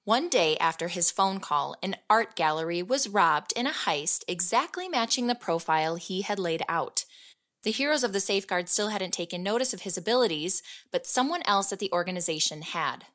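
An actress playing an investigative journalist, narrating a fiction podcast in a deliberate, scripted documentary style. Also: female voice, mid-range voice, expressive, a bit bright, natural voice. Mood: measured, intriguing.

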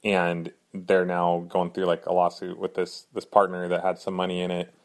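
A man hosting his own podcast in earnest, rambling casual talk, a little hoarse from a cold. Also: low voice, completely flat delivery, moderate pace. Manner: earnest, rambling, frequent fillers and restarts. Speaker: podcast host.

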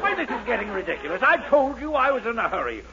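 An actor playing a hurried, blustering small-town mayor in a 1940s radio sitcom, pompous, folksy and easily flustered. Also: male voice, extremely high pitch, very animated, a crowd in the background, full character voice. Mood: exasperated, flustered. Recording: dull, slightly noisy 1940s radio recording.

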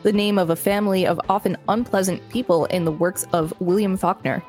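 A woman's voice: mid-range voice, fairly even intonation, a little fast, a little mellow, faint music behind. A woman co-hosting a podcast and reading an encyclopedia article aloud. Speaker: podcast co-host.

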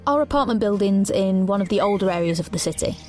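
A woman's voice: mid-range voice, lively intonation, fast talker, a little mellow, close to an everyday voice. Young woman acting a blunt protective teen sister, wry audio drama delivery, music underneath. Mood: reflective. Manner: sardonic, blunt. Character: defiant, protective teenage sister in a dystopia. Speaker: lead actor and narrator. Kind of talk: audio drama narration and dialogue. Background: background music.

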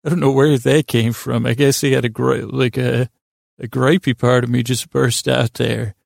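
A man's voice: medium pitch, flat delivery, normal speed, vocal fry, dry recording, clean studio sound. A man hosting a bedtime podcast, rambling in a goofy, self-deprecating way, stammering and interrupting himself. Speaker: podcast host.

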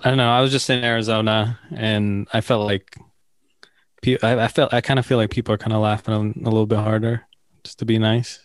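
A man who is a stand-up comedian guest, talking hesitantly and low-key. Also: level tone, a little fast, dry recording.

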